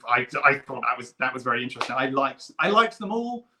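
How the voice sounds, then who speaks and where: A man talks casually and with real emphasis. His voice is very bright and sharp-edged, at an ordinary male pitch, and he comes across as an eager guest. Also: very animated, fast, slight background noise. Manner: enthusiastic, emphatic. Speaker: guest performer at an online fan convention. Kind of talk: casual chat.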